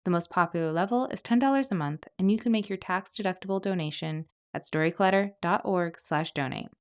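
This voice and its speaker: A woman's voice: fairly low pitch, fairly quick, clear, resonant tone. A woman podcast host reading scripted narration between stories.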